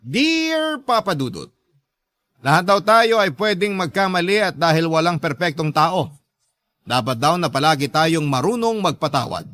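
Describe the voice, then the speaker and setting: A man's voice: fairly high pitch, sing-song, normal speed, a bit bright, no echo, studio quality. A man with a Filipino accent, a radio host reading out a listener's letter in a dramatic scripted narration.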